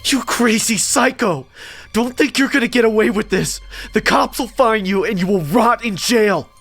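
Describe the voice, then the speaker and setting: A man's voice: high voice, moderate pace, creaky, fairly bright tone, faint music behind, voice pushed up, full character voice. A man voicing a terrified kidnap victim in a horror story, crying out and pleading. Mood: defiant. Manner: screaming, pleading.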